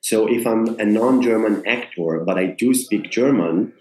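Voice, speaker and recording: Israeli guy, actor, earnest, lots of restarts, lowish voice, echoey room. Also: unhurried, darkish tone. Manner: earnest, searching for words, frequent restarts. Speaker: actor, guest. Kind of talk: conversational interview. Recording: roomy echo.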